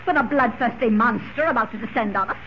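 An actress playing a nervous, indignant, prim orphanage matron, speaking formally and overwrought in old radio drama style. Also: female voice, fairly high pitch, fast, sharp tone. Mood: agitated, reproachful. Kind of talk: 1940s radio drama acting. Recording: muffled, hissy old radio recording.

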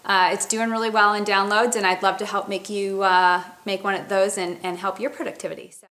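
A woman's voice: mid-range voice, level tone, a little fast, a bit bright. A woman in her thirties chatting casually to a webcam in her home kitchen, walking through a product with plenty of fillers and restarts. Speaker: company representative. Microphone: webcam at close range.

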